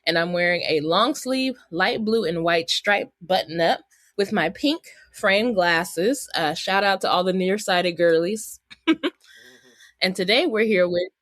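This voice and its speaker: A woman's voice: on the low side, expressive, slow pace, fairly bright tone, no echo, clean studio sound. A woman hosting a podcast interview, chatty and upbeat, laughing easily as she talks with her guest. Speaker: podcast host. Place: Bay Area.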